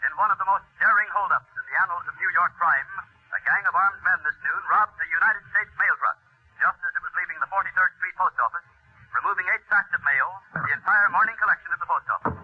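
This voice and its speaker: An actor playing a brisk, urgent radio newscaster with a husky voice, heard through a radio set in 1940s style. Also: male voice, high-pitched. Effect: radio set filter. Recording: tinny, narrow-band old radio.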